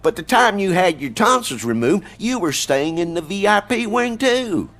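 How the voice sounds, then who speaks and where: A man's voice: high voice, very animated, moderate pace, a bit bright, soft background music, full character voice. An actor playing a snide, sardonic man in a video game, speaking with dry mockery. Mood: needling. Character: snide, sardonic male needler of politicians.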